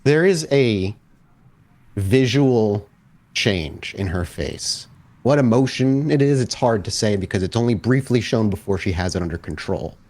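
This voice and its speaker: A man's voice: on the low side, lively intonation, unhurried, darkish tone. A man narrating a tabletop roleplaying game as storyteller, describing scenes and asking players questions.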